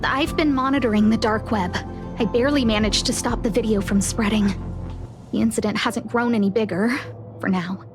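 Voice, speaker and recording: A woman acting as a responsible female manager in a video game. Her voice is fairly high and businesslike, with clear music behind it. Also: fairly even intonation, moderate pace, lightly acted. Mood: concerned.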